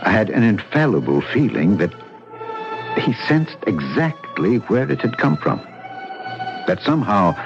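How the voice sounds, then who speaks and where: An actor playing a formal gentleman who recounts a macabre tale in old radio drama style. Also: on the low side, vocal fry, exaggerated voice. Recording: dull old radio recording with music.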